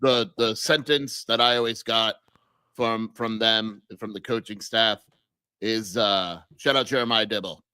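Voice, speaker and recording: A man's voice: on the low side, fairly even intonation, measured pace, pushed and bright, clear, resonant tone, no echo. A man co-hosting a sports podcast, teasing his co-host and laughing often. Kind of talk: casual sports chat.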